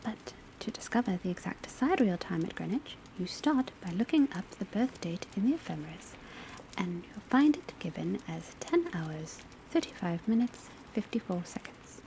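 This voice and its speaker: A woman playing a witch academy professor, lecturing in a soft, breathy ASMR voice, often whispered, in a measured and formal way. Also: medium pitch. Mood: calm, instructive. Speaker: teacher. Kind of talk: ASMR classroom roleplay lecture. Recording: steady rain and crackling fire noise. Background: rain on rooftop, fireplace, pencil writing.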